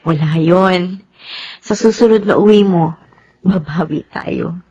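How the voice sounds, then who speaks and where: A Filipina actress playing a devoted, tender and determined young bride and daughter in a radio drama. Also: female voice, lowish voice, measured pace, mellow, dull audio, a little hiss or hum, natural voice.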